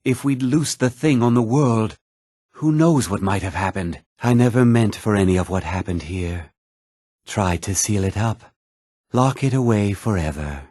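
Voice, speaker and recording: A man playing a grave older mage in a video game, with a measured, formal, theatrical delivery. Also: fairly low pitch, a little mellow, breathy edge, no room sound, clean studio sound. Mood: regretful, haunted. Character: grave older male mage, stern college leader, haunted by guilt. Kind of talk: dramatic video game voice acting.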